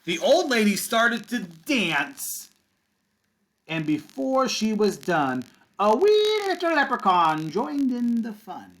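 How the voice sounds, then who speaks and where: A man reads a storybook to young children in a fairly high voice that swings widely in pitch. He sounds like a kindergarten teacher, sing-song on the rhymes and encouraging in his casual asides. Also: big pitch swings, slow, fairly bright tone, a little hiss or hum. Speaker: kindergarten teacher reading a picture book aloud.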